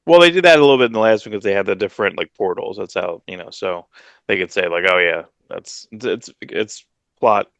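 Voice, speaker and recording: A man in his forties co-hosting a comics podcast from home on a headset, talking in a relaxed, hesitant way with many fillers. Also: lowish voice, a little fast, no echo. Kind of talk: casual chat.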